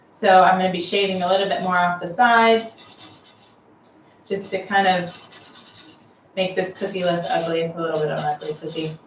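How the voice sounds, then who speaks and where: A woman's voice: mid-range voice, highs cut off. A woman giving a casual, chatty, unscripted baking how-to, explaining each step as the host with light self-deprecation. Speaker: host of a home baking tutorial. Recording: roomy, distant mic with clear background noise.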